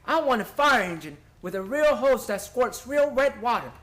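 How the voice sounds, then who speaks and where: A performer playing an eager, excitable small boy in a radio play, pleading in a hurry. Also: mid-range voice, moderate pace, close to an everyday voice.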